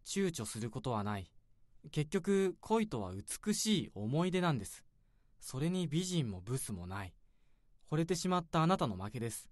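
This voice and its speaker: An actor playing a calm, earnest counsellor who gives measured, solemn advice in a radio drama. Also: medium pitch, dry recording, studio quality, close to an everyday voice. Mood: reassuring. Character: calm, earnest counsellor researching bittersweet youthful love. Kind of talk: Japanese radio drama dialogue.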